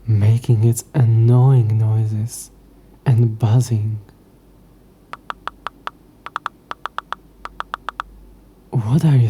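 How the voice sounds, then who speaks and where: A man playing a haughty, possessive ancient demon in a scripted audio roleplay, with a hushed, breathy voice and dry, condescending, formal speech. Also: medium pitch. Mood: jealous disdain.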